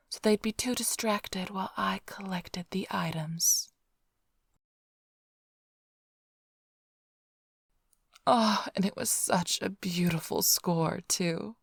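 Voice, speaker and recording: A woman's voice: on the low side, no echo, full character voice. A woman playing a flirtatious, smug female vampire thief in a whispered, teasing ASMR roleplay, drawling close to the microphone. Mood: gloating, playful. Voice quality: breathy, whispery. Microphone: close-up studio microphone, dry.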